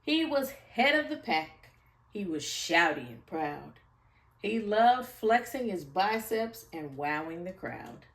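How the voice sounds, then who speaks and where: Slow, animated woman reading a storybook. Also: medium pitch, slight background noise. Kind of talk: expressive children's picture-book read-aloud. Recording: echoey room, far from the mic.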